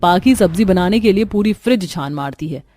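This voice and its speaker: A woman's voice: on the low side, expressive, brisk, warm tone. A woman storyteller giving scripted narration for a cartoon story. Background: faint music.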